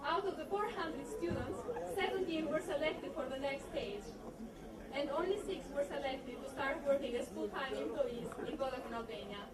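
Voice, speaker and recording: A young woman reads an earnest, formal speech to a party of former students. Her voice sits high. The mic is far away in a reverberant hall, and a loud crowd chatters behind her. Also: moderate pace. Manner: earnest, formal, reading from notes. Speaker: alumna giving a speech.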